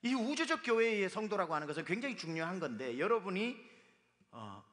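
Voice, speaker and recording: A man preaching a sermon as pastor, his voice hoarse from dust, speaking emphatically. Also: sits high, big pitch swings, brisk, a bit bright. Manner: emphatic, with sung and quoted lines. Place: church sanctuary. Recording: long reverb of a hall.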